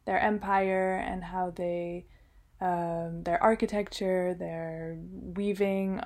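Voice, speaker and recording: A young woman raised in Switzerland talks through her answers in a mellow, warm voice. Her pitch stays fairly flat, and she pauses often with fillers and restarts her sentences as she works out what she wants to say. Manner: hesitant, many fillers and restarts. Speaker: guest, designer. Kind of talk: casual interview answers.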